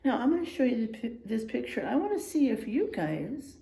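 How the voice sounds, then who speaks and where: A woman reading a picture book aloud to children in a teacherly, expressive way, checking in with her listeners as she goes. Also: on the high side, fairly quick, vocal fry, reverberant. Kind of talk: animated children's read-aloud with asides to listeners.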